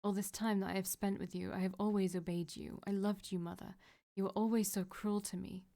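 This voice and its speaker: Woman doing a sobbing broken girl, pleading, mellow. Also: medium pitch, little pitch movement, fairly quick, close to an everyday voice. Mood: tearful pleading. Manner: pleading, tearful. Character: desperate young woman, sobbing, devoted yet broken.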